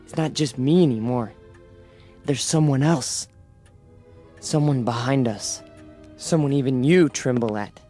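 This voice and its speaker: A man acts a zealous young demagogue in a radio drama, intense and easily led. He speaks very slowly at a medium pitch, with music playing underneath. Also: warm tone, close to an everyday voice. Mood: awed, fervent.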